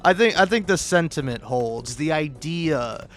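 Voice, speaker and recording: Rambling, stammering man with a higher-pitched voice. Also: expressive, soft background music. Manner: self-deprecating, profane; halting, stammering, rambling delivery. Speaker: video creator and host. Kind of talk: casual rambling comedic gaming commentary.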